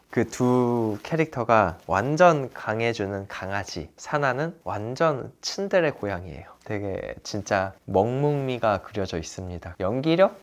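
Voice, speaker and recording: A young man from Gyeonggi giving a blunt, opinionated solo review of a TV drama in a casual, slangy way. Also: fairly low pitch. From Gyeonggi, South Korea.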